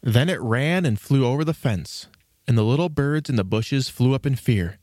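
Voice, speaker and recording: A man reading scripted storybook narration as the narrator, in an expressive storytelling manner. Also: mid-range voice, normal speed, dry recording, studio quality.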